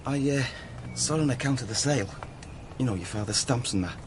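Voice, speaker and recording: A man's voice: mid-range voice, brisk, a little hiss or hum, close to an everyday voice. Man playing a chatty, hesitant cabbie. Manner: casual, nosy, hesitant. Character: chatty male taxi driver and mature student. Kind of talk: naturalistic radio drama acting.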